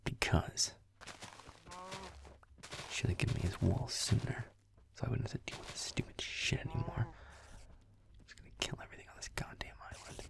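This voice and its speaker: A man playing a grumpy, foul-mouthed young werewolf boyfriend, muttering sullenly in a breathy, gravelly whisper in an intimate roleplay audio. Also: medium pitch, sing-song, normal speed, long pauses. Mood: irritated muttering. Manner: sullen muttering, swearing, sarcastic.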